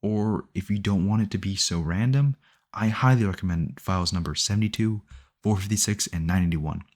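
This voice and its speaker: Man acting a low-voiced, doting obsessive boyfriend. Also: fairly quick, a little mellow, lightly acted. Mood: doting, possessive. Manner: doting, rambling, earnest self-justifying, close and conversational. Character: obsessive, possessive yandere boyfriend, sweetly cheerful kidnapper. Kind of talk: ASMR audio roleplay addressed to the listener.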